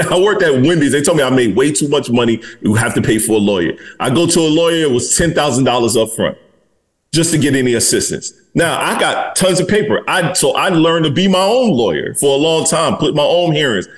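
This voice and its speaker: A man's voice: on the high side, expressive, a little fast. A man in his forties hosting a podcast, talking bluntly and candidly in casual conversation and swearing freely. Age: 40s. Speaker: podcast host.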